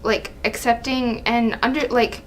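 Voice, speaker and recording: A woman's voice: fairly high pitch, little pitch movement, a little slow, rough recording. A young adult woman co-presenting in a casual, informal discussion. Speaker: student co-presenter.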